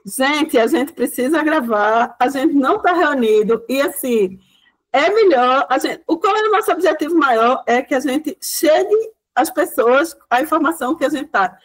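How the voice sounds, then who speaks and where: Lively high-voiced woman lecturer. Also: normal speed, poor audio. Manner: lively, many fillers, acts out quoted speech. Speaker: guest lecturer. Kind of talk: animated classroom storytelling.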